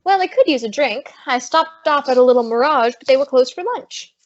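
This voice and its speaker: A woman playing a street-smart, broke American showgirl in 1940s radio comedy style, with breezy, slangy deadpan wisecracks. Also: high voice, a little fast. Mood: wry. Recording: dull lo-fi old-radio-style recording.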